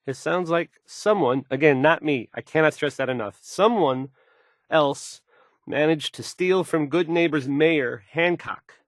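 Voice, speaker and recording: An actor playing a nervous, awkward young radio DJ in a game, hesitant, stammering and defensive. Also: male voice, fairly high pitch, a little slow, no room sound, clean studio sound, lightly acted. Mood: defensive, anxious. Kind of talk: rambling radio DJ patter.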